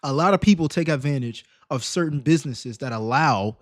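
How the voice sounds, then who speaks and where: A male streamer talks casually to his viewers in a slightly raspy, mid-pitched voice. He leans on slang and pushes his points with emphatic questions. The sound is dry, with very little room. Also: expressive, fairly quick, no echo. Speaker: live stream host reacting to videos. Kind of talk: casual livestream commentary.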